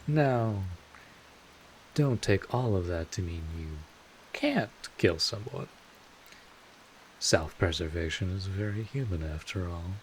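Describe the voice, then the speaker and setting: A man playing a world-weary but kindly old vampire mentor in an audio roleplay, speaking informally and in measured phrases with wry asides. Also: low-pitched, animated, slight background noise, low-quality recording. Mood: frank, advising. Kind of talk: second-person audio roleplay monologue.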